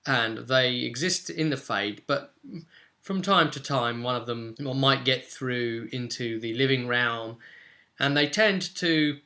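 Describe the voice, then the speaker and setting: A man casually recapping a tabletop roleplay session as game master, with expressive storytelling and dramatic emphasis. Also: medium pitch, takes it slowly.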